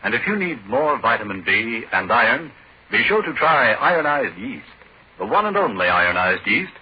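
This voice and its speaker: A man announcing on 1940s radio, delivering an emphatic, salesmanlike sponsor pitch. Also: very animated, moderate pace, breathy edge. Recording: muffled, noisy 1940s radio recording.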